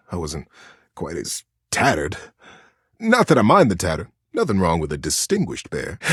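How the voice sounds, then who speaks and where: A man voicing a kindly, folksy old childhood teddy bear in a video game, talking like a gentle, earnest storyteller. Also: on the low side, vocal fry, slightly rough, dry recording, clean studio sound, heavily acted. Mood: wistful, a little hurt. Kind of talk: video game character dialogue.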